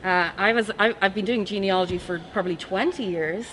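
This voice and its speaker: A woman in her thirties with an Irish accent, a professor talking chattily and warmly as an interview guest, laughing often. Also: mid-range voice, a little fast, a little hiss or hum, poor audio. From Ireland. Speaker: forensic science professor, guest. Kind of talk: casual interview chat.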